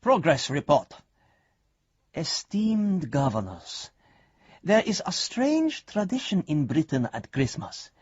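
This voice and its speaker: An actor playing an earnest investigator dictating a formal, deliberate report in a radio drama. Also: male voice, fairly high pitch, a little mellow, husky, no room sound, muffled recording, exaggerated voice. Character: solemn, earnest bank investigator reporting to his governors.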